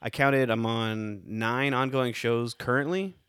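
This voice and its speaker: A man's voice: mid-range voice, steady tone, measured pace, a bit bright, clean tone, no echo, clean studio sound. A young man hosting a casual podcast, easygoing and rambling with lots of fillers. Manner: laid-back, rambling with many fillers. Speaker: podcast host.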